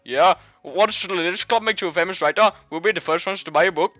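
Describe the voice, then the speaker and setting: A man's voice: sits high, wildly swooping pitch, unhurried, bright, forceful tone, dry recording, lo-fi sound, soft background music, pitched above the performer's own voice, heavily acted. A man voicing a teasing, mocking schoolgirl friend in an exaggerated way for a video game. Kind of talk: video game fan voice-over.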